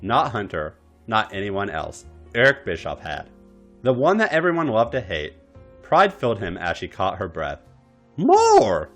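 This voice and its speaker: A man's voice: fairly low pitch, very animated, moderate pace, fairly bright tone, faint music behind. A man narrating erotic fan fiction for a podcast in a theatrical, dramatic style. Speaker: podcast story reader.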